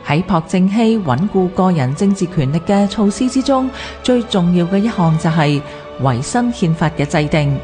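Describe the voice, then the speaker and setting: A woman's voice: on the low side, lively intonation, moderate pace, warm tone. A woman with a Hong Kong Cantonese accent reads scripted history narration for a radio programme. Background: music behind the voice.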